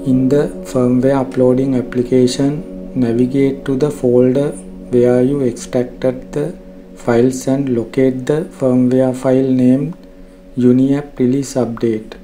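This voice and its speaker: A man narrating a scripted software tutorial in a formal, measured, step-by-step way. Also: mid-range voice, level tone, poor audio. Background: background music.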